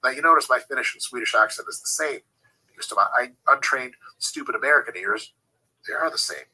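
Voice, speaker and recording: A man's voice: mid-range voice, fast talker, bright, forceful tone, low-quality recording. A man giving casual fitness advice in a profane, joking way, wandering into digressions and talking through lifting technique. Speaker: fitness coach.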